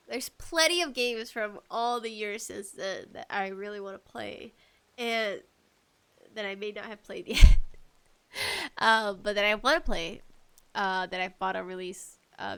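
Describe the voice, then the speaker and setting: A woman hosting a casual, rambling solo podcast chat, enthusiastic and animated in her emphasis. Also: medium pitch, measured pace, gravelly, pauses often, smooth. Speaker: podcast host talking about video games.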